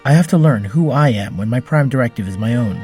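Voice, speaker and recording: A man playing an earnest, gentle android hero in an audio drama, with formal diction. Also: mid-range voice, lively intonation, fast talker, faint music behind, close to an everyday voice. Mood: earnest, tender.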